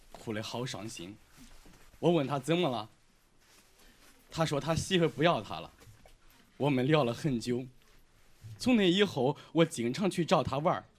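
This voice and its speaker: A man acting a lovestruck, sentimental young male student in a Chinese audio drama, with a regional dialect accent and an animated, emotional delivery. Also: fairly high pitch.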